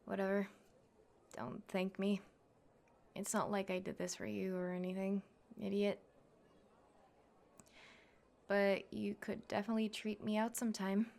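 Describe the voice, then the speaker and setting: A woman's voice: medium pitch, level tone, measured pace, creaky, long pauses, darkish tone. A young woman playing a tough, cocky tsundere classmate who fights MMA, brash, bossy and teasing, in an ASMR roleplay. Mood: gruff, secretly fond. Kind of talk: ASMR girlfriend roleplay acting.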